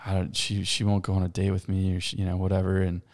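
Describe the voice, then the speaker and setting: A man in his thirties, a podcast guest, chatting casually and loudly, rambling with plenty of fillers and frequent laughs. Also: low voice, completely flat delivery, fast. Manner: loud, laughs often, rambling with many fillers. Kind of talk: casual chat.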